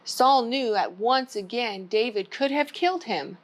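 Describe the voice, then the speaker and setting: Lady reading a kids storybook, kinda high voice, really slow, talks right to the little ones. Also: expressive. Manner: simple, child-directed address. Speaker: children's storytime host at a church. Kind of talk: storybook reading for young children with chatty asides.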